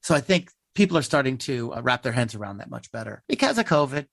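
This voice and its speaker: A man's voice: medium pitch, sing-song, fast talker, gravelly, a bit bright, dry recording, clean studio sound. A man giving earnest, emphatic opinions as an interview guest in conversation. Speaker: interview guest, theater artist.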